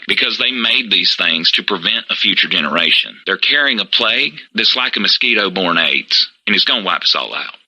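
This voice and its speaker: An actor playing an insistent, suspicious conspiracy-minded caller phoning in to a radio show. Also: male voice, deep voice, bright, forceful tone, breathy edge, heavily acted, rough recording. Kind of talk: radio call-in acting.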